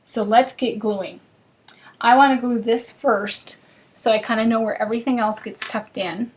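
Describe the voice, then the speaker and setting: Woman, northern US accent, bubbly live craft-class host, slightly high voice. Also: fairly even intonation, normal speed, slight background noise. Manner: chatty and bubbly, chatting with viewers while working.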